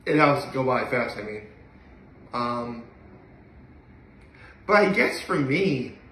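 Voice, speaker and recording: A man's voice: mid-range voice, very animated, slow, a bit bright, a little hiss or hum. A young man chatting casually to the camera in a rambling, hesitant way that often trails off, recorded at home a few feet from a phone. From upstate New York. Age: young adult. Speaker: daily vlogger. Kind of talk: casual solo chat to camera. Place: indoor living room at home. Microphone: phone camera a few feet away. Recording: roomy, echoey home recording.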